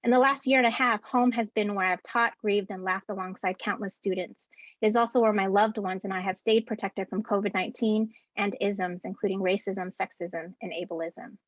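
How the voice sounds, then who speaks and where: A woman in her thirties, an educator, giving a prepared ceremony speech from home over a video call in an earnest, deliberate way, reading out rhythmic lists. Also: mid-range voice, fairly even intonation, no echo. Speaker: keynote speaker and educator. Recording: dull, dry video-call audio.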